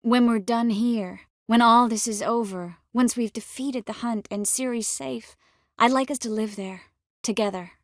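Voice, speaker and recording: An actress playing an earnest, caring young sorceress in a video game, expressive and by turns determined and wistful. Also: female voice, a little high, a little slow, no room sound, studio quality. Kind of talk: video game character dialogue.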